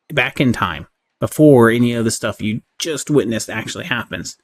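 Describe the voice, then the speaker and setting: A man giving casual, unscripted game review commentary in a halting, conversational way. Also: mid-range voice. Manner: halting, conversational, often checks in with "right?". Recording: clearly audible background noise.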